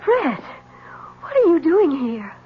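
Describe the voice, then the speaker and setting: A woman's voice: sits high, big pitch swings, slow, a little hiss or hum, exaggerated voice. An actress playing a frightened, secretive young married woman in a 1970s radio drama, pleading and anxious. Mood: nervous. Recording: dull lo-fi 1970s radio broadcast.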